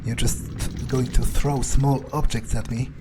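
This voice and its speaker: A man's voice: medium pitch, fairly even intonation, a little slow, heavy background noise. A man doing casual, foul-mouthed in-character game commentary, muttering his thoughts aloud as he plays. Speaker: gaming streamer, let's player. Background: video game music.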